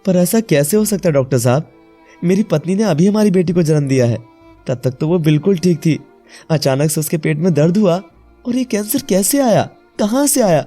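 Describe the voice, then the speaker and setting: A man's voice: high-pitched, lively intonation, moderate pace, dark tone, soft background music. A man voicing a devoted, emotional young husband and father, earnest and pleading, in a dramatised story. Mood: desperate pleading. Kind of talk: dramatised Hindi moral story acting.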